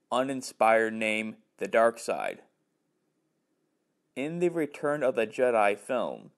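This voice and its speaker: A man hosting a podcast, reading a scripted review. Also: on the low side. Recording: music behind the voice.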